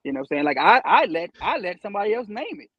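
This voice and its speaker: Guest, young adult male, husky and high-pitched, laid-back, explains at length, dry dull sound. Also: sing-song, rapid-fire, no echo. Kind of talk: casual conversation.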